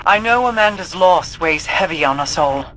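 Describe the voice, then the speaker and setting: An actor playing an earnest, composed companion and adviser in a video game, speaking carefully and formally. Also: male voice, sits high, lively intonation, normal speed, noisy background. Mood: earnest, urgent. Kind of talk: scripted video game dialogue.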